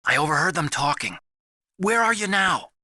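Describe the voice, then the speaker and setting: High, bright, nerdy hacker. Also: male voice, sing-song, normal speed, no echo, clean studio sound, heavily acted. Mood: earnest. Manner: eager, explanatory. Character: nerdy, excitable male computer hacker, earnest and boastful. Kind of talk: dramatic video game voice acting.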